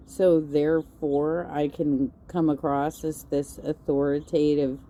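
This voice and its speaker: A woman with a low, very dark and mellow voice gives reaction commentary. She talks at an unhurried pace, blunt and sarcastic, swearing freely. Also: slight background noise. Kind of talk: reaction commentary over stream clips.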